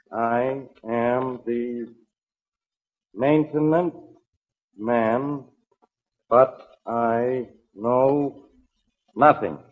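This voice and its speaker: An actor playing a gruff, impatient police inspector in a 1940s radio drama, barking orders and sarcastic wisecracks on a dull recording. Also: male voice, medium pitch, lightly acted.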